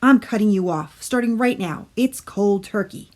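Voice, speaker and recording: A woman's voice: mid-range voice, moderate pace, darkish tone, natural voice. A woman voicing a determined young boy in a children's storybook, emphatic and fed up. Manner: emphatic, exasperated. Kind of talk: picture-book character voice.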